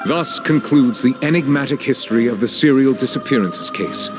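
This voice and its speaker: A man acts a composed, stern judge from an anime game, presiding with authority. His phrasing is formal and measured, at a medium pitch, with loud music behind. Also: natural voice.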